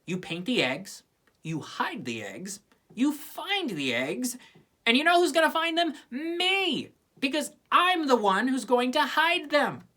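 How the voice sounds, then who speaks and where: A woman's voice: high voice, big pitch swings, slow, sharp tone, pitched above the performer's own voice, heavily acted. A woman voicing a bossy, scornful little cartoon girl in an emphatic, self-important way. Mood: smug, bossy.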